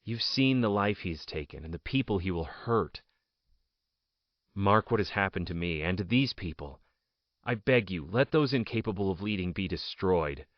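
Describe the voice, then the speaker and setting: An actor playing an anguished, imprisoned political outcast with strange powers, speaking in theatrical, solemn soliloquy that can break into frantic outbursts. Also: male voice, sits low, no room sound. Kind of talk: audio drama acting, soliloquy. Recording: dull lo-fi dry recording.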